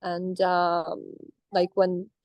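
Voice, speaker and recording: A woman's voice: on the low side, little pitch movement, extremely slow, no room sound, muffled recording. A young woman with a Russian accent, talking over a video call as a coaching client, hesitant with many fillers and restarts. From Astrakhan, Russia. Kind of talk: casual reflective talk.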